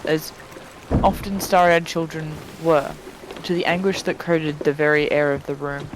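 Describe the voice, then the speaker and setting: Male amateur reader, slightly high pitch, dramatic narration mixed with sweary chatty asides, noisy voice-chat audio. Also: unhurried. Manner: sweary, breaks off mid-sentence to chat. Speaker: fan fiction reader on a live stream. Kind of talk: dramatic reading with casual asides to friends. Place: live-streamed group voice chat. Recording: noisy voice chat, steady background noise.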